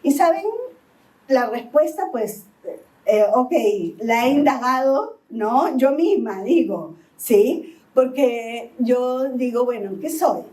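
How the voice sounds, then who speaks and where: Woman, Peruvian accent, pastor preaching, high voice, heard from a distance in a church. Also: lively intonation, slow, mellow. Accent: Peruvian (Lima). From Peru, Lima. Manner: asks the congregation "¿sí?" again and again. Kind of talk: sermon.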